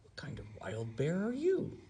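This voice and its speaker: A man voicing an eager, easily bored little teddy bear in a bedtime storybook, with expressive questions and exclamations. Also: on the high side, normal speed, husky, voice pushed up, heavily acted. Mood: curious. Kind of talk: bedtime storybook read-aloud.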